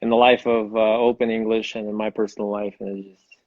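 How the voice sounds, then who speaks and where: A man whose first language is Russian, hosting a casual online chat as an English teacher, friendly and halting with many restarts. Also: on the low side, little pitch movement, no room sound. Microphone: video call. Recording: dull, dry video-call audio.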